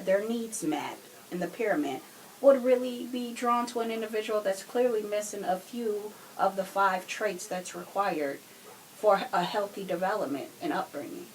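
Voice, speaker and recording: A woman co-hosting a casual true crime discussion, giving her opinions in a reflective, measured way. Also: medium pitch, slight background noise, poor audio. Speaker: podcast co-host.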